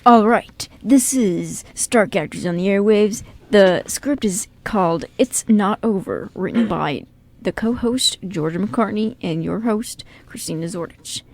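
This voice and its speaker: Medium-pitched woman hosting a radio show. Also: animated, a little slow. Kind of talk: scripted introduction and casual chat.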